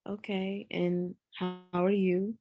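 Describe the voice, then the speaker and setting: A woman's voice: fairly low pitch, level tone, takes it slowly, warm tone. A woman playing a busy grown daughter in a screenplay read, casual and naturalistic, teasing and defensive. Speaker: actor in a script read. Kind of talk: live screenplay table read.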